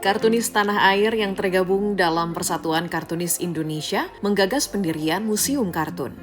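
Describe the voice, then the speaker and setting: Woman, news reporter, scripted narration, mid pitch, clear music bed behind. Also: moderate pace. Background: background music.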